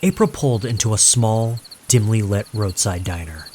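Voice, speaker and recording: A man narrating a scripted horror story in a suspenseful, deliberate manner with dramatic pauses. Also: on the low side, a little mellow, slight background noise.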